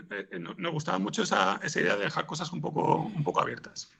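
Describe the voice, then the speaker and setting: A man with a raspy, gravelly voice, answering interview questions in a hesitant way, as if thinking aloud. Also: high-pitched, steady tone, a little fast, fairly bright tone. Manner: hesitant, thinking aloud, frequent throat clearing. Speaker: interviewed podcast scriptwriter.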